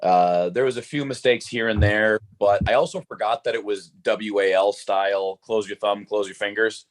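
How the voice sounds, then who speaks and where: A man with a hoarse voice talking upbeat and rambling as a guest on a live video chat. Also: on the low side, steady tone, moderate pace, slight background noise. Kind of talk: casual chat.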